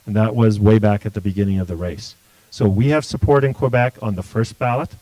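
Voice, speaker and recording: A man's voice: on the low side, fairly quick, warm tone, no echo, poor audio. Blunt man, dry close sound. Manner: blunt, forthright, restarts often. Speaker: political candidate. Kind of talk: livestream interview answers.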